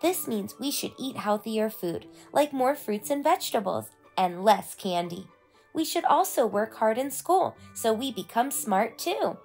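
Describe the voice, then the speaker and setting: Storybook role: woman as an excitable young martial arts pupil, a boy, proud and earnest, high voice, music underneath. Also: measured pace. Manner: eager, proud, explaining earnestly. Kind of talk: children's storybook read-aloud.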